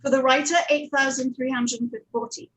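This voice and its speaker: A woman speaking as a producer on a panel, explaining things step by step with hesitant pauses. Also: sits high, flat delivery, a bit bright, low-quality recording. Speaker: audio drama producer and director, panelist.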